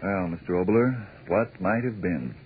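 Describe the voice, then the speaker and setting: A man's voice: low voice, a little slow, slight background noise. A man announcing on 1940s radio, polished and emphatic, as if selling to listeners. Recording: tinny, rough 1940s radio recording.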